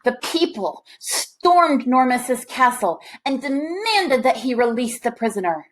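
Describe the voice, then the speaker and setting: A woman's voice: high voice, sing-song, measured pace, rough recording. A woman reading a children's picture book aloud, expressively, with chatty asides to young listeners. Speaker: storybook reader.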